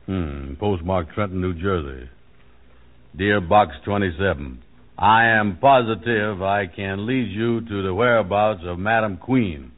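An actor playing a gullible, scheming sidekick in an old American radio comedy, colloquial and plodding. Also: male voice, deep voice, measured pace. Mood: earnest, reading aloud. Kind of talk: 1940s-50s American radio comedy acting. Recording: tinny, band-limited old radio recording.

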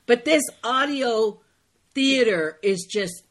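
A woman's voice: a little high, expressive, takes it slowly. A woman co-hosting a casual chat, effusive and emphatic, often repeating herself for stress. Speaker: podcast co-host.

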